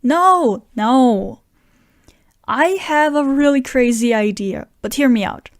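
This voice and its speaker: A woman's voice: sits high, expressive, slow pace, no echo, clean studio sound, full character voice. A woman playing an earnest, scheming friend who narrates an audio drama tale. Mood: excited scheming. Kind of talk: audio drama dialogue and first-person narration.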